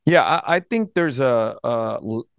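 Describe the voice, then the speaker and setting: Man, 40s, podcast host, earnest and halting, close studio mic in a dry room. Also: medium pitch, expressive, gravelly, clear, resonant tone, no echo. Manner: earnest, halting, many restarts. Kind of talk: interview podcast. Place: home studio room with bookshelves. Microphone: headphones and studio mic close up.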